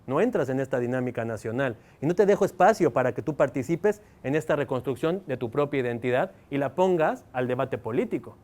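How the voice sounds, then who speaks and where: A man's voice: medium pitch, lively intonation, fairly quick, hardly any pauses, a little mellow. A male university professor with a Mexican accent, lecturing students and firing rhetorical questions at them. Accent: Mexican Spanish. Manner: engaging, fires rhetorical questions at the audience.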